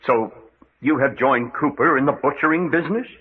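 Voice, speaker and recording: An actor playing a tough, insistent adventurer, blunt and demanding, in an old radio mystery serial. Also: male voice, mid-range voice, normal speed, breathy edge, lightly acted. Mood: challenging. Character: tough, insistent male adventurer interrogating his captors. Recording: noisy, dull old radio recording.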